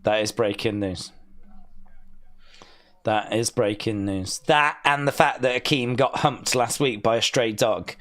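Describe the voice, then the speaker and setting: A man's voice: mid-range voice, unhurried, fairly bright tone. A man hosting a casual wrestling watch-along stream, reading viewer messages aloud and teasing them playfully. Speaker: live-stream host reading chat.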